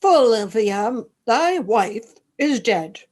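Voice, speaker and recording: A woman acting a measured attendant in a Shakespearean radio play, speaking deliberately and expressively. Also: fairly high pitch, very animated, a little mellow, heavily acted. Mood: solemn. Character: measured soothsayers, messengers and loyal male soldier.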